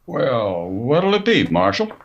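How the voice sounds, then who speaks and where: A folksy saloon keeper from a radio Western, with a pitch that swings widely. He is protective and speaks in rustic phrases. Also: wildly swooping pitch, takes it slowly, gravelly, highs cut off, heavily acted. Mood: guarded, insistent. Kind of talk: old-time Western radio acting.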